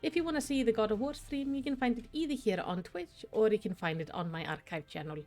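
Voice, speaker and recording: A woman's voice: on the high side, fast talker, a little mellow. Icelandic lady streamer, chatty and friendly, faint game music under her. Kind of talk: casual gaming stream chat.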